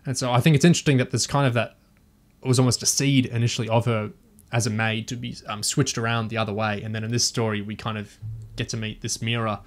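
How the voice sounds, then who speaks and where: A man's voice: mid-range voice, level tone, quick pace. A man chatting casually and thoughtfully as a panelist on a review podcast, feeling his way through his points.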